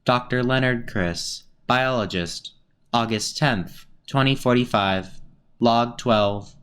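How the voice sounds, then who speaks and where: A man's voice: mid-range voice, slow pace, fairly bright tone, clean tone. A man playing a formal, impersonal announcer reading log headers in clipped phrases in a radio play.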